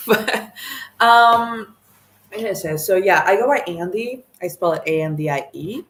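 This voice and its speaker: A woman's voice: mid-range voice, lively intonation, unhurried, a bit bright, slight background noise. A young woman from Mexico whose first language is Spanish, casually introducing herself to the camera, rambling with lots of "like" and "um". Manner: rambling, lots of "like" and "um", self-conscious. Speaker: vlogger. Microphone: camera mic, talking to camera.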